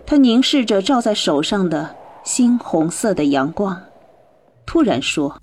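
A woman narrating a radio drama in slow, measured storytelling. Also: medium pitch, very animated, darkish tone, a little hiss or hum. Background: faint music.

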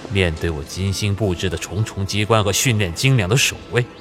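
An actor playing a pompous, theatrical young villain boss in red robes, making grandiose speeches in a fantasy radio drama. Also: male voice, low voice, brisk, over music, close to an everyday voice. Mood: gloating, commanding.

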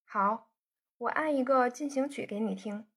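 A woman voicing a proud young woman who pouts and answers her suitor with sharp retorts. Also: fairly high pitch, moderate pace, breathy edge, pitched above the performer's own voice. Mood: sulky.

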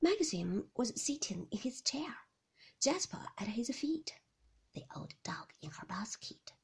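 A woman reads a novel aloud in a hushed, intimate whisper. Her voice is very breathy with a gravelly fry, and she takes her time over every phrase. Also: fairly high pitch, sing-song, slow. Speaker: narrator. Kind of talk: whispered ASMR audiobook reading.

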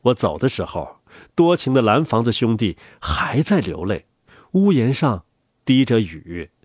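Husky, lively man reading a children's story. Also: mid-range voice, measured pace, no room sound, clean studio sound. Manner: gentle, animated storyteller voice.

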